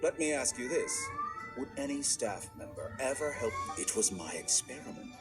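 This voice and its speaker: An actor playing a smug, calculating scholar villain in a game cutscene, with a measured, condescending, theatrical delivery. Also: male voice, medium pitch, huge pitch swings. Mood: coolly arrogant. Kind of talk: video game cutscene acting. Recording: loud music under the voice.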